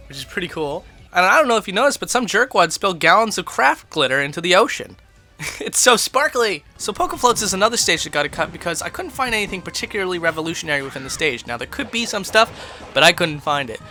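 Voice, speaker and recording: A man's voice: high voice, bright, forceful tone. A man hosting a casual, rambling game tour commentary in a chatty, self-deprecating way. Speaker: video host. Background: background music.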